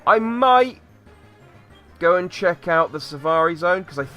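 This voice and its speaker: A man with a slightly hoarse voice from a cold, rambling and thinking aloud as he plays through a game and talks to viewers. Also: sits high, animated, a little slow, pushed and bright, faint music behind. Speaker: let's play host. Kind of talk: casual gameplay commentary.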